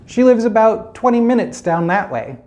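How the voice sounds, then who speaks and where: A man's voice: sits high, very animated, normal speed, a little mellow, voice pushed up. A man voicing an innocent, trusting little girl from a fairy tale, sweet and naive. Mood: cheerful, innocent.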